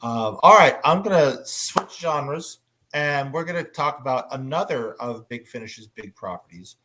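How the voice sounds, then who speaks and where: A man hosting a casual fan panel discussion, speaking enthusiastically but haltingly with frequent pauses. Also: medium pitch, lively intonation, sharp tone, rough recording. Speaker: panel host.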